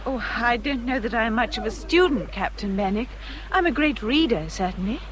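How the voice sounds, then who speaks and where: Actress as high-voiced, earnest English gentlewoman. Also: expressive, brisk, crowd noise, lightly acted. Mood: hesitant. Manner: polite, earnest, hesitant. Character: gentle, sensible, self-effacing young English gentlewoman. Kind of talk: period radio drama acting. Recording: clear outdoor ambient noise behind.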